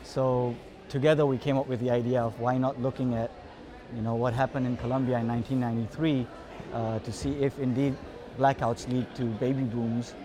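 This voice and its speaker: A man's voice: mid-range voice, level tone, a little fast. A man from Tanzania, an economics researcher, talking in an easygoing way about his film. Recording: chattering crowd behind.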